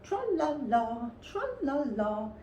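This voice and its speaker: A woman's voice: sits high, sing-song, slow, mellow, dull audio, voice pushed up, exaggerated voice. A woman voicing a friendly, naive storybook bear who loves food and humming, with an earnest, sing-song manner. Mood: humming happily. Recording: roomy, distant mic with faint noise.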